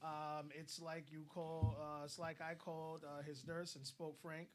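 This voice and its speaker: A man's voice: fairly high pitch, completely flat delivery, unhurried, slight background noise. A man co-hosting a casual online discussion, giving blunt advice and often repeating words as he starts a thought.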